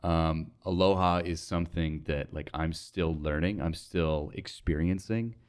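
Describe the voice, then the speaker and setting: A young American man with a very low voice speaks in a casual interview. He is earnest and reflective, leaning on colloquial filler as he thinks things through. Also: steady tone, a little slow, clean tone. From North Dakota, United States. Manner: informal, reflective, earnest, with frequent "like". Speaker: interview guest, radio sales associate.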